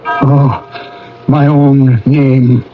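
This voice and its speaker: An actor playing a reformed old miser in a theatrical radio drama, with an emotional, exclamatory delivery. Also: male voice, medium pitch, flat delivery, drawn-out words. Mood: fearful dread. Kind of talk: theatrical radio drama acting with first-person narration. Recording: muffled old radio broadcast with music. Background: music.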